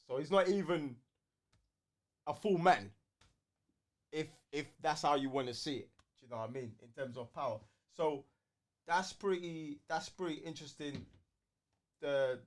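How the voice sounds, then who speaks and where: A man with a London accent and a slightly raised pitch chats casually as a co-host. He leans on slang and circles back over his words while he builds a point. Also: moderate pace, pauses often. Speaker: co-host of a fan talk show. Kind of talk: casual chat.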